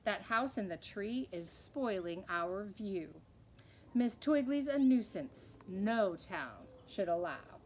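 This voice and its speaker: A woman's voice: mid-range voice, animated, takes it slowly, darkish tone, slight background noise. A woman voicing a haughty, bossy mayor's wife from a storybook, scolding and disapproving. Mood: indignant. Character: haughty, indignant small-town mayor's wife, bossy complainer.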